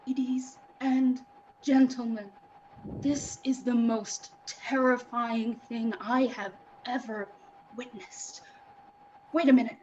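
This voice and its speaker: High-voiced, excitable radio reporter acted by a woman. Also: dull audio, a little hiss or hum. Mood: horrified awe. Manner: breathless dramatic commentary with suspenseful pauses. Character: excitable radio reporter, awed and alarmed eyewitness at the scene. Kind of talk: 1930s radio drama live reading.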